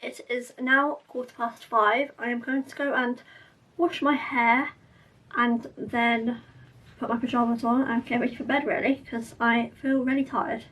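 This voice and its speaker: A young woman with a croaky, sore-throated voice, chatting tiredly through a casual diary vlog. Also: a little high, flat delivery, a little slow, recorded from a distance, dull audio. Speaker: vlogger.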